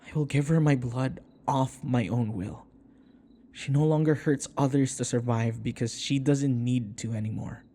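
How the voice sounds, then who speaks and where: A man acts out a submissive but stubbornly loyal servant in an audio drama. His voice sits a little high for a man, and he pleads earnestly, haltingly repeating his words as he defends his mistress. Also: darkish tone. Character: devoted young male servant, submissive, stubbornly protective of vampire mistress. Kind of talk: audio roleplay acting.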